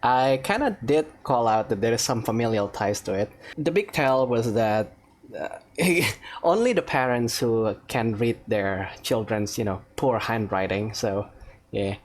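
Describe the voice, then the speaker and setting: A woman's voice: rumbling low, lively intonation, measured pace, darkish tone, a little hiss or hum. A woman hosting a gaming video with casual, informal commentary and wry asides. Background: game music.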